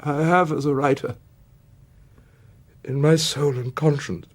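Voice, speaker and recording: A man playing an ailing, dignified Victorian author giving a solemn, measured farewell address in a theatrical style. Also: fairly high pitch, steady tone. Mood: solemn, heartfelt. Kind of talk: theatrical dramatised speech.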